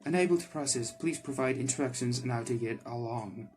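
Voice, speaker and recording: A man's voice: mid-range voice, fairly quick, darkish tone, close to an everyday voice. A man voicing a naive, literal-minded candy golem girl in a video game, with stiff, formal phrasing and music behind. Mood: robotic, blank. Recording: background music under the voice.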